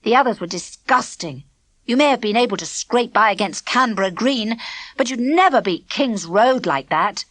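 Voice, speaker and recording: A woman voicing a serious, worried schoolboy who speaks in a frowning, quietly stern way. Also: a little high, animated, normal speed, dry recording. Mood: urging.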